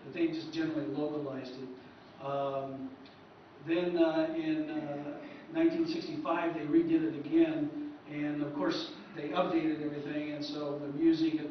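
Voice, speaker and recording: A male presenter speaks informally to a convention room in a halting, self-correcting way. His voice sits a little high. It is picked up from far away, muffled and swimming in echo. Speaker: presenter, historian. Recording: echoey hall from far off, muffled, noisy.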